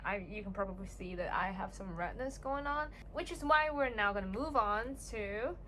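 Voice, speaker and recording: A woman's voice: mid-range voice, lively intonation, normal speed, bright, forceful tone. A young woman whose first language is Cantonese chats through a skincare routine at home, rambling and poking fun at herself. Her voice sits in a middle range. A faint hum runs underneath. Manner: chatty, self-deprecating, rambling asides. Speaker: beauty vlogger. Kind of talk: casual get-ready-with-me chat. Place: home room.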